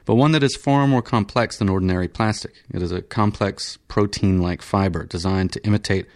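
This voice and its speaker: A man playing a stolid, flat-spoken surgeon. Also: on the low side, natural voice. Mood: formal. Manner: flat, measured, formal. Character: stolid, coolly patient male surgeon.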